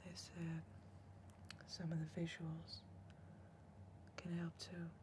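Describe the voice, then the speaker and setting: A young woman playing an anxious, scolding backwoods accomplice in a hushed, whispered ASMR roleplay. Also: on the low side, monotone. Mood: hushed, coaxing. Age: young adult. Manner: hushed, whispering, slow and deliberate. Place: indoor room, seated at a table. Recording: close-up mic with clear background noise.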